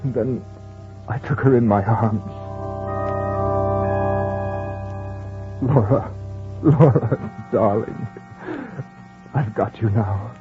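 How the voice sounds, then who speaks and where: A man's voice: medium pitch, slow, close to an everyday voice. An actor playing a grief-stricken husband narrating his own horror tale in old-time radio style, dramatic and haunted. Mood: dread. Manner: dramatic, haunted first-person storytelling. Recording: tinny vintage radio recording, faint music.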